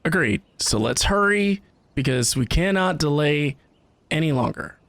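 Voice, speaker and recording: A man hosting a casual game reaction, commenting as he plays with playful, expressive exclamations. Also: on the high side, measured pace, no room sound. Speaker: gaming reaction host.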